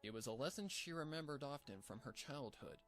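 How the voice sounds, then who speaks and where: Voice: medium pitch, lively intonation, brisk. A storybook narrator reads scripted fiction in a mid-range voice. The delivery is that of a story being told aloud. Music plays behind the words.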